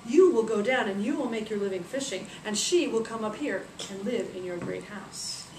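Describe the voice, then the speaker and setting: A woman voicing a powerful, righteous goddess in a story, scolding in a deliberate, admonishing manner. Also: medium pitch, echoey room, distant mic, noisy background, natural voice. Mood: judging.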